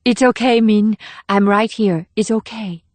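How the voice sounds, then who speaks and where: A man voicing a young woman agent, hushed and gentle, raised pitch, storybook reading, dry close sound. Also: measured pace, no room sound. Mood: tender. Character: Chung Cha, young woman agent, tender and guarded.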